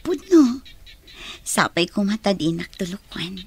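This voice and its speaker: A woman playing a gentle woman in love in a radio drama, with a husky, breathy voice. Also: medium pitch, very animated, measured pace, a little hiss or hum. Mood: tender, hesitant. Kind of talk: Ilocano radio drama acting.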